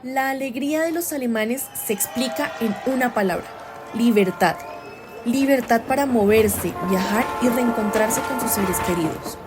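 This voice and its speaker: Woman as formal, higher-pitched radio newsreader. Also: normal speed, over music, lightly acted. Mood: solemn, proud. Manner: formal, declarative. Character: solemn female radio news reporter announcing historic events. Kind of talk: scripted news bulletin.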